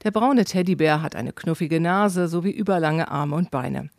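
A man's voice: a little high, creaky, no room sound, studio quality. Man narrating a travel report. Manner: measured, descriptive delivery. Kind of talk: scripted documentary narration.

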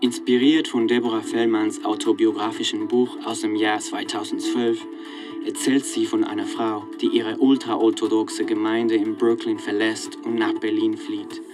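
A man's voice: fairly low pitch, little pitch movement, normal speed, over music. A man delivering scripted documentary narration in an audio play.

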